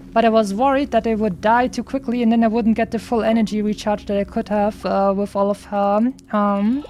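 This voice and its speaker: A woman's voice: a little high, a little mellow, slight background noise. A woman streamer giving casual, chatty gameplay commentary, rambling and thinking aloud as she goes.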